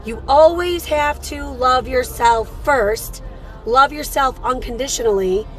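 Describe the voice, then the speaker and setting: A hoarse woman with a slightly high voice chats casually through a live morning show. She greets her viewers affectionately and talks about her card readings. Faint radio music plays behind her in the car. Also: unhurried, fairly bright tone. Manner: chatty, affectionate with viewers, calls them sweetheart. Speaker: host and card reader.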